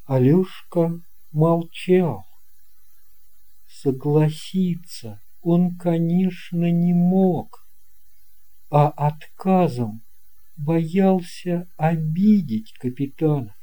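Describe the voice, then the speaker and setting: A man narrating a scripted children's tale as an audiobook reader, in deliberate, measured phrases. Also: fairly high pitch, warm tone.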